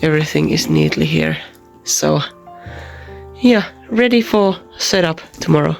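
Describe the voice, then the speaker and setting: Gravelly young man vlogging. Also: a little high, wildly swooping pitch, unhurried, faint music behind. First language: Finnish. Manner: hesitant, many ums and uhs. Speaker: convention artist vlogger. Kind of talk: casual travel vlog.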